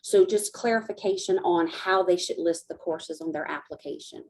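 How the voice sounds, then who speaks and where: A woman's voice: on the low side, moderate pace, darkish tone. A woman from Louisiana hosting an information session as a study abroad coordinator, speaking casually with many fillers and restarts. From Acadiana, Louisiana. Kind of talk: hosting a recorded info session.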